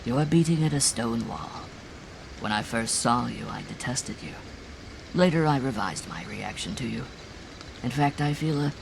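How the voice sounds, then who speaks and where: A man voicing a heavy middle-aged farmer in a cult, a fanatic who shouts and rants in a strained, raucous voice. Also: medium pitch, animated, normal speed, creaky, background noise. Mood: cold. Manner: shouting fanatic, later sly and cold. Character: raving heavy male farmer cult follower.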